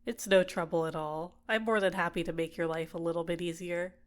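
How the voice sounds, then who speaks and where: A woman playing a doting, possessive villain in an ASMR roleplay, speaking in a gentle, coaxing, soothing way. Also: on the low side, fairly even intonation, brisk, natural voice. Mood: teasing, doting. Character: doting, possessive female villain caring for a captive. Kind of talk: ASMR roleplay, personal attention.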